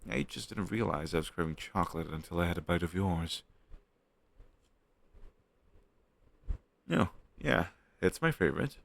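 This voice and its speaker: A man plays a young male best friend in an audio roleplay, teasing and casual. His voice sits very low with a crackly fry at the edges, and it swings expressively up and down as he banters intimately with the listener. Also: animated, fairly quick, dry recording. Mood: enthusiastic, relaxed.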